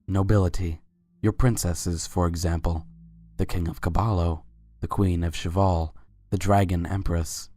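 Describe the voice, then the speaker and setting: A man voicing a suave, courtly pony Sultan who speaks formally and flatters without hurry in a fan fiction reading. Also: deep voice, a little slow. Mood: earnest, flattering. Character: suave, courtly winged unicorn Sultan, adult male pony.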